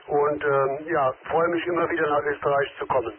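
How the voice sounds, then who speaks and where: A man's voice: fairly high pitch, fairly even intonation, a little fast. A man chatting on an amateur radio contact, polite and hesitant, filling his sentences with many filler sounds. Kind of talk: casual radio contact chat. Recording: tinny radio transmission with crowd behind.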